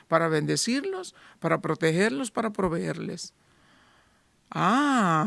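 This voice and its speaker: High-voiced man preaching a sermon. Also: creaky, dry recording. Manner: rhetorical questions, voicing doubting listeners. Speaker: pastor.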